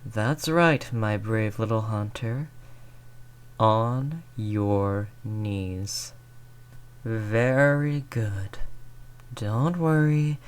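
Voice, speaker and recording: A performer voicing an ancient, sadistic, seductive vampire in an intimate roleplay audio drama, speaking with slow deliberation, condescending endearments and breathy whispers. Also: medium pitch.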